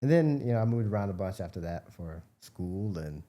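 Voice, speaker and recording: This is an American man with a lowish, very mellow and relaxed voice. He speaks as a guest in a casual interview. His answers are informal and wander as he explains himself. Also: brisk. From United States, Midwest. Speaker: interview guest, biomedical researcher and entrepreneur.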